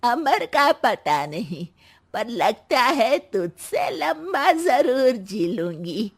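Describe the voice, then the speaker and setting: A woman voicing a feisty, sharp-tongued elderly grandmother-in-law in a cartoon story, drawing out her taunts. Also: fairly high pitch, sing-song, husky, exaggerated voice. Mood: defiant. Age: older. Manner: taunting, drawn-out delivery.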